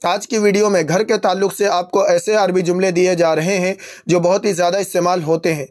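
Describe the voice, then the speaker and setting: A man with an Urdu-Hindustani accent teaching Arabic phrases. He addresses his viewers with formal politeness, says each phrase slowly and repeats it word by word. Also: high-pitched, steady tone, darkish tone.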